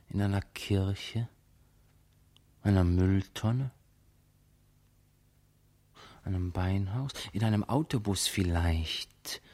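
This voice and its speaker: Husky, muddled, forgetful man. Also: on the low side, darkish tone. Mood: pondering, unsure. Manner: halting, hesitant, pondering to himself. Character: muddled, forgetful man groping uncertainly for memories. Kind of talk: radio drama monologue.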